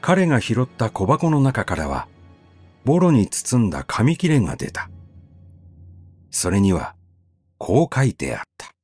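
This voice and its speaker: A man's voice: mid-range voice, very animated, measured pace, long pauses, darkish tone, husky. A man narrating a short story as a scripted audiobook reading, telling it expressively. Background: faint background music.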